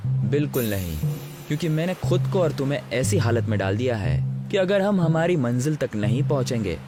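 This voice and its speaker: Casting: male actor as reckless young dreamer, insistent and dramatic, animated-story dialogue with music behind. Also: medium pitch, moderate pace, close to an everyday voice. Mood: determined. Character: bold, reckless young male adventurer dreaming of a sea village. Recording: voice over background music.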